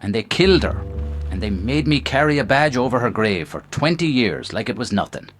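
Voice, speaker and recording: An actor playing a stubborn, bitter, grieving veteran cop with an intense, defiant manner in a noir radio drama. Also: male voice, mid-range voice, sing-song, a little fast, soft background music, lightly acted. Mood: pleading, grieving.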